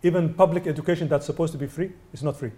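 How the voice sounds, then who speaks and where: A man from Sudan, a UNICEF representative, answering press questions in a measured way with many hesitations. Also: fairly high pitch, steady tone, warm tone. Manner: measured, many ums and uhs, restarts. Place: indoor venue.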